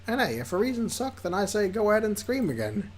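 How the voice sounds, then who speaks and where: A streamer doing Liam, a squeaky upbeat friend. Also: very animated, fast talker, natural voice. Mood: encouraging. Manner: upbeat, reassuring, chatty advice. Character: cheerful supportive young male friend.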